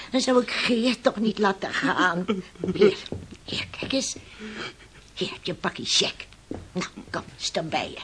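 A woman's voice: medium pitch, husky, exaggerated voice. An actress playing a stern, desperate middle-aged fisherman's mother in a Dutch radio play, by turns bullying and coaxing. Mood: coaxing, tender. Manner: scolding and exclamatory, turning to pleading.

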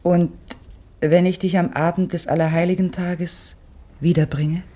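A woman playing a gentle, pensive mother in a literary radio play, speaking in a measured, tender, poetic way. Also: on the low side, flat delivery. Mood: gentle, reserved.

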